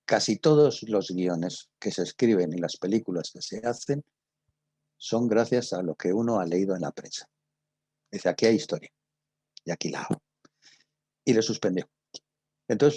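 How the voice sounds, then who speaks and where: Older man, Castilian Spanish accent, low husky voice, mellow tone, informal teacher talk, conversational storytelling. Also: no room sound. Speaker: radio drama teacher and guest speaker. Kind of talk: informal talk answering student questions.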